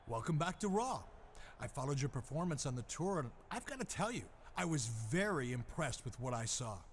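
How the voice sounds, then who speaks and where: A man's voice: fairly high pitch, sing-song, moderate pace, slight background noise. A man voicing a smug, slippery wrestling general manager in a video game, with a slick, evasive manner. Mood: smooth, flattering.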